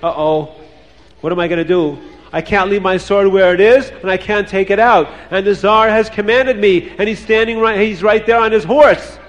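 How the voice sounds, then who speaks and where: Fretful storybook soldier, played by a male storyteller: high voice, worried repetitions, long hall echo. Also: fairly even intonation, normal speed, natural voice. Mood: worried, cornered. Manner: worried, repetitive reasoning aloud. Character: anxious, quick-thinking young soldier in trouble, fretting.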